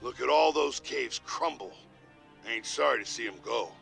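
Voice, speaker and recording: A man voicing a sardonic, trigger-happy outlaw marine in a video game, with a gravelly drawl. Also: medium pitch, normal speed, fairly bright tone, faint music behind. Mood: cocky, relishing. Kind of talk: video game cutscene acting.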